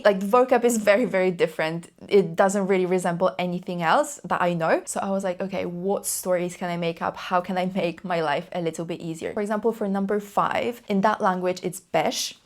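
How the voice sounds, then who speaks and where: A young woman whose first language is Polish, talking to camera in a chatty, enthusiastic way and often repeating herself for emphasis. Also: lowish voice. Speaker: language learning YouTuber. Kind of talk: casual talk to camera.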